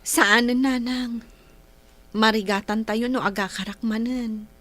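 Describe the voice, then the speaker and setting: A woman's voice: fairly high pitch, fairly even intonation, slow, fairly bright tone. A woman playing a hurt but patient schoolgirl in a radio drama, speaking earnestly and plaintively.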